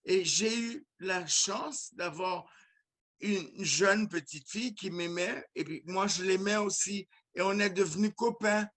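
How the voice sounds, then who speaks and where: A man with a Haitian accent who speaks in a high voice and takes his time. He talks earnestly as a musician guest in a casual conversation, often restarting and repeating words. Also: measured pace, fairly bright tone.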